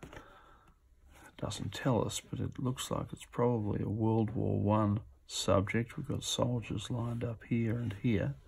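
A man's voice: fairly low pitch, level tone, slow pace, creaky, darkish tone, breathy edge. A man giving casual, off-the-cuff commentary as he presents auction items, musing to himself as he goes. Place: indoors at a table.

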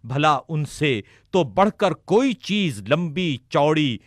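A man reading a sermon text aloud in a measured, formal recitation. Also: on the high side, a bit bright, no room sound, studio quality. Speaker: reader of religious sermons.

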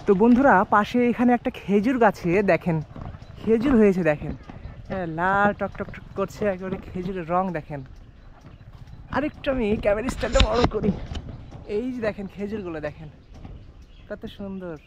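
A man with a chatty, easygoing manner walks through a village, talking to his viewers. He stops now and then to ask locals questions. The outdoor recording carries clear background noise. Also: sits high, fairly quick, pauses often. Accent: Bengali. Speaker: travel vlogger. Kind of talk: casual walking vlog narration.

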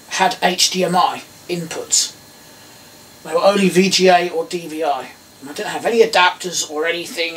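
English bloke doing a laid back how-to, thinking out loud, mic a bit far away, slight noise behind. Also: male voice, high voice. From England. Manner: rambling, easily sidetracked, thinking aloud. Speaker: host of a DIY electronics video. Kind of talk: casual hands-on tutorial chat. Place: small tiled indoor workroom. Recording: distant mic, some background noise.

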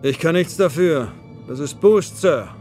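An actor playing a blunt, pragmatic military clone soldier in an audio drama. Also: male voice, on the high side, animated, unhurried, darkish tone, faint music behind. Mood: terse, grim.

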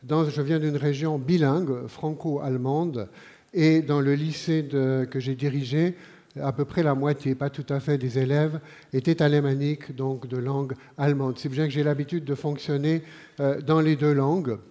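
A man in his sixties with a Swiss French accent lectures from an auditorium stage. His voice sits a little high for a man yet sounds mellow and warm. He talks slowly and deliberately, pausing often and filling the gaps with hesitations. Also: steady tone. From Switzerland. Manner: measured, unhurried delivery with frequent pauses and fillers. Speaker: conference speaker. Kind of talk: live conference talk.

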